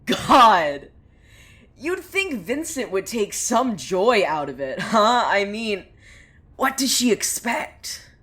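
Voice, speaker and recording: A woman's voice: mid-range voice, very animated, slow, fairly bright tone. A woman playing an unhinged, bitter cult preacher with visions in a fiction podcast, theatrical and swinging between brooding and mania. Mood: bitter outburst. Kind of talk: dramatic monologue in an audio fiction podcast.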